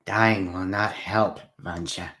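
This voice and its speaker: A man's voice: sits low, fairly even intonation, takes it slowly, sharp tone, full character voice. A man voicing a glum, subdued wounded male vampire.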